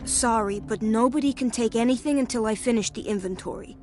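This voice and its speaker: Woman acting a harried young hospital worker, high female voice, exasperated, game dialogue, music underneath. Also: brisk, close to an everyday voice. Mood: apologetic, businesslike. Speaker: voice actor in a video game. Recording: music behind the voice. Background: background music.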